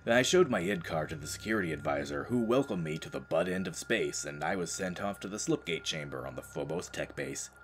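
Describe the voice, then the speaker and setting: A man acting a world-weary, deadpan space marine reduced to janitor, telling his story as dramatic audio drama narration. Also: sits low, brisk, few pauses, a little hiss or hum. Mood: wry, reflective. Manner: sardonic, deadpan, brooding. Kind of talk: dramatic first-person scripted narration.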